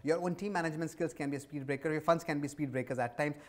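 A man's voice: a little high, very fast. A man in his forties with an Indian accent, answering interview questions conversationally as a business executive, with emphatic repetition. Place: indoor office lounge set. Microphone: lapel mic.

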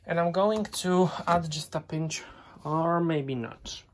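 Slow, hesitant man narrating laundry. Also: fairly high pitch, slight background noise. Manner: unhurried, hesitant, chatty explaining. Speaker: laundry vlogger. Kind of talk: casual narration while doing laundry. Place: home laundry area.

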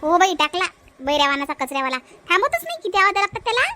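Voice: very high voice, sing-song, racing, pushed and bright, slight background noise, heavily acted. A performer voicing a chatty young married village housewife in a cartoon family comedy, with a childlike voice and a colloquial Vidarbha Marathi accent.

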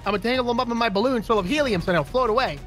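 A man's voice: very high-pitched, very fast, a bit bright, soft background music. A man bantering casually over a video game in a goofy, playfully boastful way. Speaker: gamer. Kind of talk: casual, joking gameplay banter.

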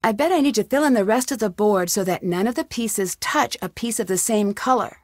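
A woman's voice: mid-range voice, fairly quick, no room sound, clean studio sound, natural voice. An actress playing a curious, upbeat young woman detective in an adventure game, thinking aloud in short scripted remarks. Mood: thoughtful.

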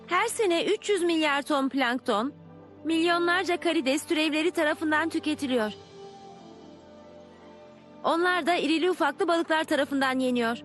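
A woman's voice: sits high, natural voice. A woman voicing a confident young pupil explaining ideas in a dubbed educational cartoon.

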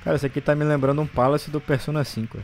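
A Brazilian man doing casual, exasperated gameplay commentary, excitable and full of swearing. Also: fairly high pitch, quick pace, faint music behind. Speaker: gaming video host.

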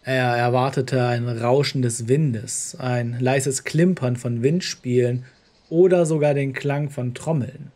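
A man's voice: mid-range voice, steady tone, slow, a little mellow. A man narrating a scripted horror story in the role of storyteller. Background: music.

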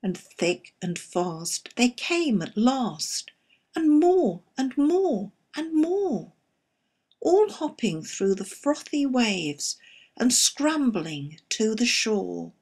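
A woman expressively reciting a narrative poem in a measured storytelling manner, with a slightly husky voice. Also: a little high, sing-song, darkish tone. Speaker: poetry reader.

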